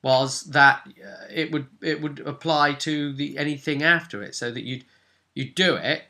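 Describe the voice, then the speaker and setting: A man speaking as game master, casually recapping a tabletop role-playing session in an animated, explanatory way. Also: on the high side, normal speed.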